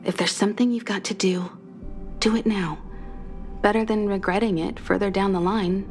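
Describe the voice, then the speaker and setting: A woman playing a composed, caring mentor in a video game, speaking calmly and reflectively. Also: medium pitch, normal speed, faint music behind, natural voice. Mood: reassuring, reflective. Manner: calm, reassuring, reflective. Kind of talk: dramatic video game voice acting.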